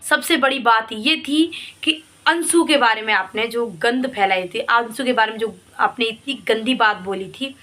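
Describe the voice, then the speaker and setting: A woman's voice: on the high side, unhurried, hardly any pauses, bright, forceful tone. A woman vlogger giving opinion commentary straight to her viewers, in an emphatic, reproachful way that leans on repeated points.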